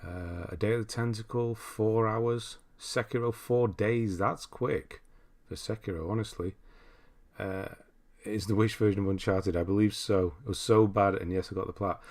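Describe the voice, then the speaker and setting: Man, lower-pitched voice, livestream host, casual chat, rambling, thinking aloud, commenting as he reads. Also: fairly even intonation, moderate pace, a little mellow. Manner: rambling, thinking aloud, often trailing into asides. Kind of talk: casual live chat, reading out viewer messages and commenting on game lists.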